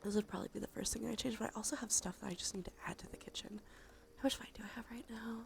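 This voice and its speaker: A soft-spoken woman chatting on a cozy ASMR livestream, with a creaky voice that often slips into a breathy whisper. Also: medium pitch, fairly even intonation, rapid-fire, soft background music. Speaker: streamer.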